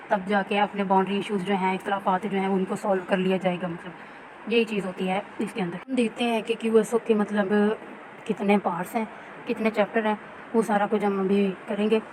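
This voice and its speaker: A Pakistani woman teaches law in an informal recorded lecture. Her delivery stays fairly flat and level at a middling pitch as she explains and checks that listeners follow. Noticeable noise runs behind her voice. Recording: clear steady background noise.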